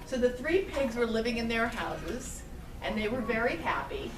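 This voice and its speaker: A woman's voice: a little high, sing-song, moderate pace. A woman telling a story live to young children in simple words, often prompting them with questions. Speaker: storyteller at a puppet show. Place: live show in a roomy space with children. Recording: roomy, distant mic, noisy live room.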